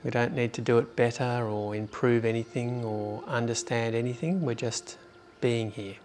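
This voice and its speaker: A man leading a guided meditation in an informal, unhurried way with long pauses. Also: mid-range voice, fairly even intonation, a little mellow, slight background noise.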